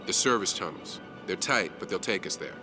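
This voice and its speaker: An actor playing a gruff, loyal male soldier in a video game. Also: sits low, lively intonation, fairly quick, over music. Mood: resolute.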